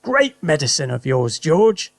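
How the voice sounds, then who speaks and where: Man doing a gleeful husband, shouting, raised pitch, gravelly. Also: sing-song, unhurried, no echo, heavily acted. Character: gleeful, spiteful middle-aged husband.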